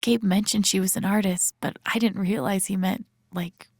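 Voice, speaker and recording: A woman's voice: medium pitch, fairly even intonation, normal speed, a little mellow, husky, dry recording. An actress with an American accent playing an introspective, wry young woman in a video game, murmuring dry asides to herself. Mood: curious. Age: young adult. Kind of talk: naturalistic game inner-monologue acting.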